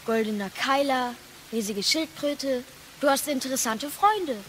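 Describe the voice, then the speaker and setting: An earnest young boy with a high voice. He is curious and a little hesitant, in the style of a dramatic audio play. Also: unhurried, fairly bright tone, a little hiss or hum. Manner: hesitant, inquisitive.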